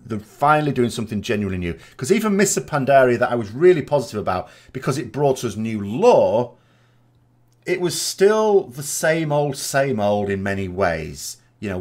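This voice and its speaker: A man hosting a vodcast, giving unscripted opinion commentary in a discursive way, reasoning aloud with rhetorical questions and asides. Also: on the low side. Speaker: vodcast host, gaming lore commentator.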